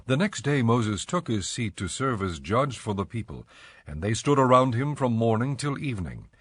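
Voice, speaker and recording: A man narrating scripture for a dramatized audio production in a measured, formal storytelling manner. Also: mid-range voice, very animated, breathy edge, no echo, clean studio sound. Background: soft music.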